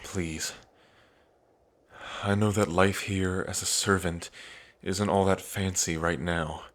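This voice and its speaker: A man playing an earnest, devoted dragon prince in an intimate ASMR roleplay, pausing between phrases. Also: deep voice, steady tone, a bit bright. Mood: tender, lovestruck. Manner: slow, earnest, with long pauses between phrases. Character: lovestruck dragon prince, grieving, tender, devoted.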